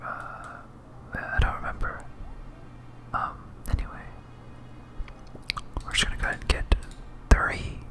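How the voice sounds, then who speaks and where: A person whispering a hesitant, absent-minded ASMR playthrough of a video game, thinking aloud close to the microphone. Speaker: gaming video host.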